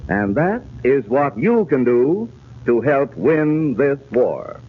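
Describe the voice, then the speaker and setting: Lively, earnest 1940s radio pitchman. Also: slow pace, breathy edge. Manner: folksy, earnest, direct address. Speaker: radio pitchman addressing children. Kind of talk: 1940s radio public appeal. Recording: tinny, noisy 1940s radio broadcast.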